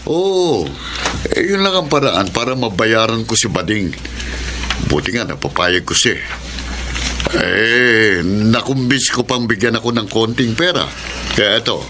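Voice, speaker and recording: A cruel, bullying Filipino uncle. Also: male voice, fairly low pitch, animated, a little slow, noisy background. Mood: smug. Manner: bullying, taunting, repeats sharp questions. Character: cruel, domineering uncle, violent schemer exploiting his nephew. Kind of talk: Filipino radio drama acting.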